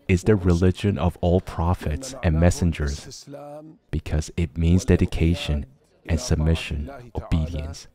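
A man reading a scripted religious talk as a voice-over translation, in a measured, formal manner. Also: extremely low pitch, dark tone.

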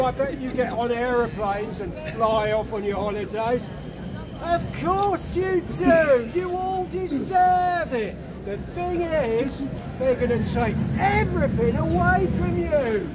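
Man acting out a greedy oil tycoon, mocking, slow, high-ish voice, loud crowd and street noise. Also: lively intonation, heavily acted. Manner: mocking, sneering, loud outdoor projection. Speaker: street theatre performer. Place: outdoors on a city street. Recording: noisy street protest with crowd. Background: protest crowd and street noise.

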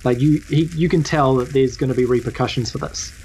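Low-voiced New Zealand woman narrating. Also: a little fast, mellow, slight background noise, poor audio. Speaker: game master. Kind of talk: casual improvised game narration.

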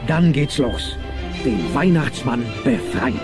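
A man reads scripted narration for an audio play. His voice is mid-pitched. Music plays clearly behind him. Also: very animated, slow pace.

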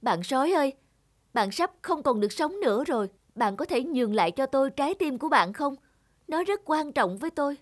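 A woman voicing a gentle snowman who longs for a heart, in an animated fairy tale, speaking softly and earnestly. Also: sits high, a little fast. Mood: pleading. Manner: soft, earnest, pleading. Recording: loud music behind.

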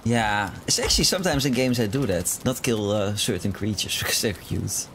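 A man in his thirties hosts his game stream over a headset, chatty and quick to laugh. His pitch moves around a lot as he talks. A clear layer of noise sits under his voice. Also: lively intonation, fairly bright tone. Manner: chatty, laughs easily, hums to himself. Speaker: streamer, host. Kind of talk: casual chat while gaming. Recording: noisy game stream audio.

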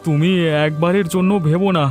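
A man voicing a hot-headed poor villager in a cartoon, speaking in an animated way with music behind. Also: on the high side, moderate pace, lightly acted. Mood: resolute. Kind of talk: cartoon voice acting.

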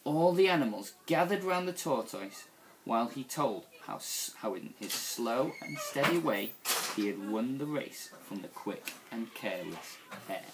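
A young man reading a picture book aloud to children in an expressive, deliberate storytelling style. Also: mid-range voice, noisy background. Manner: animated, deliberate storytelling delivery. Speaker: school staff member reading to young children.

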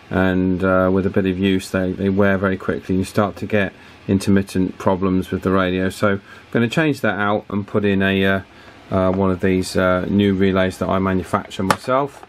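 A man giving a hands-on repair tutorial at a workbench in an informal, matter-of-fact way with hesitant pauses. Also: low-pitched. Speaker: radio repair technician and presenter. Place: indoors at an electronics workbench.

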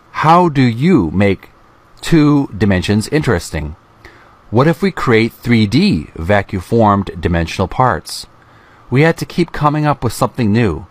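A middle-aged man with a fairly low voice hosts a video. He reads printed text aloud and adds casual remarks as he goes. His delivery is deliberate and halting, spoken close to the mic. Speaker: video host. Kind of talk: reading aloud from an art book with casual commentary.

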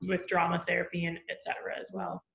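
A woman in her thirties hosts an interview over a webcam, her voice low-set and flat with little rise and fall. The room around her rings with echo. She winds through long, searching questions, pausing on ums. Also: gravelly. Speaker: podcast host, interviewer. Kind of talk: casual interview over video call. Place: room at home with plants. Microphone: webcam mic on a video call.

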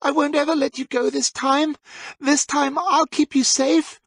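A man's voice: extremely high pitch, level tone, unhurried, creaky, a bit bright, no echo, voice pushed up, full character voice. A man voicing an obsessive, grief-stricken young woman in an audiobook, speaking with chilling certainty. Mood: sobbing relief. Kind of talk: audiobook character voice.

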